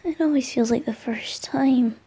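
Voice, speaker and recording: A woman voicing a gentle, lovestruck boyish male wind spirit in a romantic roleplay ASMR, speaking tenderly with soft murmurs and hesitant pauses. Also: sits high, husky. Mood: shy, affectionate.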